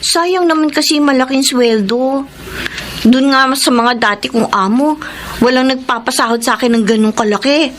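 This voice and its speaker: A woman's voice: high-pitched, normal speed, a bit bright, a little hiss or hum. A woman playing a humble, hardworking young housemaid in a radio drama, speaking politely and earnestly. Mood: eager. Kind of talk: Filipino radio drama acting.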